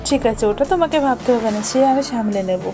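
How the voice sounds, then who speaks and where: A woman voicing an excitable, easily frightened aunt in a family cartoon. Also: a little high, quick pace, music in the background, lightly acted. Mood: expectant. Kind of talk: cartoon voice acting.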